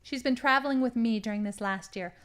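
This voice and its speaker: Woman acting a scheming, high-voiced female crime boss. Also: fairly quick, a bit bright, natural voice. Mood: gloating, cold. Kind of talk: audio drama acting.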